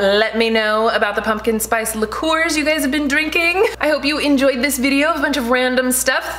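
Chatty woman vlogger at home. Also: fairly high pitch. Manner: chatty, animated, gestures a lot. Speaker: lifestyle vlogger. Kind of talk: casual product review vlog. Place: home room with shelves, indoors. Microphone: desk camera at mid distance.

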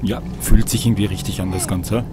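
A man, a little hoarse, calmly musing as he comments on his own video game play. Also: sits low, fairly quick, over loud music. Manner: calm, musing, talks to the viewer. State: a bit hoarse. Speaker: let's play host. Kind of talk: gameplay commentary.

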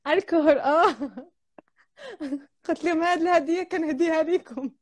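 A woman's voice: very high voice, moderate pace. A young woman with a Moroccan Arabic accent hosting a live video chat at home, chatting casually and laughing often as she tells stories. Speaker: host of a live stream. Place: indoor room at home. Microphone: video call, laptop or phone camera.

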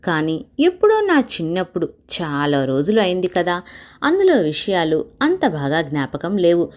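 A woman's voice: fairly low pitch, huge pitch swings, normal speed, a little mellow. A woman voicing a boastful male scholar from a children's storybook, pompous and formal but bluffing. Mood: evasive bluffing.

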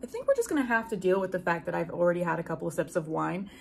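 A woman in her twenties speaking casually as a YouTube host, with wry, animated delivery as she chats or reads aloud. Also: lowish voice, racing, creaky, a little hiss or hum. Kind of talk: casual chat and reading fan fiction aloud with asides. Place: small room at home with bookshelves.

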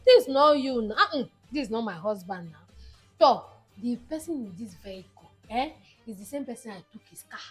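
Lady doing a high husky wife, teasing, faint music behind. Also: brisk. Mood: mocking. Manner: calm, mocking. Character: sly wife who sold her husband's car. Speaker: wife in a comedy skit.

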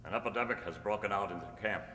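A man playing a calm, upright masked cowboy hero with measured, formal delivery, in old-time radio style on stage. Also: fairly low pitch. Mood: persuasive. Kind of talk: old-time radio drama acting read from script. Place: stage in a community hall. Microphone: stand microphone. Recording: echoey hall, humming background noise.

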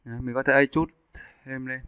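A man's voice: medium pitch, little pitch movement, fast talker, sharp tone, clean tone, dry recording. Dry, slightly dull-sounding male tutorial voice. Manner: informal, instructing step by step with short check-in questions. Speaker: 3D animation instructor. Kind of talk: casual screen-recorded software tutorial.

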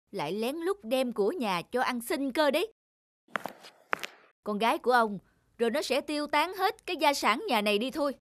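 A woman's voice: high voice, big pitch swings, normal speed, pauses often, no room sound. A woman playing a scheming middle-aged stepmother in a cartoon, nagging and accusing in a sneering manner.

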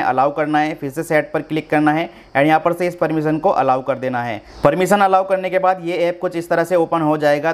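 Man, slightly high-pitched voice, tutorial host, step-by-step phone instructions, speaks straight to viewers.

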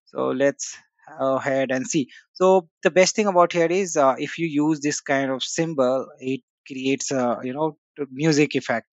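A man's voice: mid-range voice, fairly even intonation, normal speed, dry recording, muffled recording. A man hosting a casual screen-share tech tutorial, talking with many "uh" and "you know" fillers. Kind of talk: screen-share software walkthrough.

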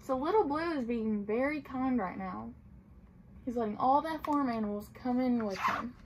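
A woman's voice: fairly high pitch, normal speed, slight background noise, low-quality recording. A young woman reading a picture book aloud to small children in a sing-song storytelling way, as a playschool teacher. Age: young adult. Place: small tiled indoor room.

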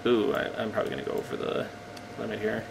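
A man with a slightly croaky voice casually commenting on his gameplay, rambling and poking fun at himself as he explains. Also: fairly low pitch, steady tone, fast talker, a little hiss or hum. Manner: rambling, self-deprecating, explains as he plays.

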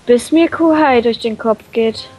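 A woman's voice: on the high side, a little slow, darkish tone, rough recording. A playful woman reading a visual novel expressively for a let's play, with casual banter in between. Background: background game music.

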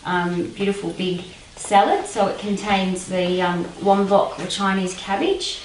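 Woman, thirties, relaxed home-cook demo, roomy kitchen, dish clatter behind. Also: mid-range voice, measured pace, far from the mic, a little hiss or hum. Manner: hesitant, many ums, relaxed. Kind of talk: casual cooking demonstration. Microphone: far camera mic. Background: clattering dishes and cutlery.

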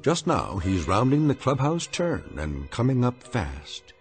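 An actor playing an ominous, sardonic narrator of a radio drama, with deliberate scripted delivery. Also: male voice, medium pitch, wildly swooping pitch, music in the background.

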